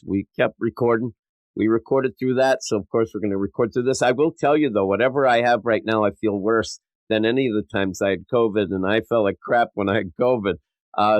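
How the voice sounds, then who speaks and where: A sick, stuffed-up man hosting a podcast in a rambling, self-mocking way, his voice a little rough and wavering. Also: fairly low pitch, no echo. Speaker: podcast host. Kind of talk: casual podcast monologue.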